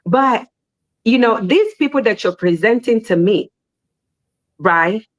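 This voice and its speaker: Chatty woman on a panel show, repeats herself, voice jumps up high when she gets worked up. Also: slow. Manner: animated, repeats words, rising pitch when making a point. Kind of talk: casual panel discussion.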